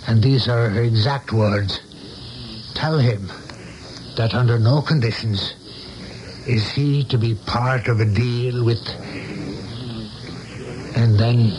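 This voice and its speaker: A man's voice: mid-range voice, slow, pauses often, darkish tone, dull audio, close to an everyday voice. An actor playing an anxious, rattled man demanding answers in a 1970s radio drama. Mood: grave insistence. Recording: faintly noisy old radio recording.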